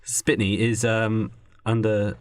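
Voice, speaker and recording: A man's voice: fairly low pitch, flat delivery, slow pace, clean tone, no echo. A man co-hosting a podcast, casually working through story ideas in conversation. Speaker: podcast co-host. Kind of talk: casual brainstorm chat.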